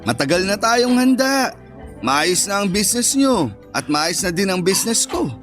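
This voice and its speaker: A cocky heir of thirty wooing his girlfriend in a Filipino radio drama. His voice is on the high side, eager and coaxing, full of sweet talk. Music runs behind him. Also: very animated, normal speed, a bit bright. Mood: coaxing, earnest. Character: eager, boastful thirty-year-old heir, sweet-talking suitor. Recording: background music under the voice.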